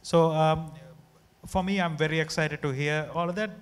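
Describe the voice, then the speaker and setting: Sri man moderating a panel, upbeat, a little high-voiced, hall echo. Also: fairly even intonation, clean tone. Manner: upbeat, quick affirmations. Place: large conference hall. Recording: reverberant conference hall.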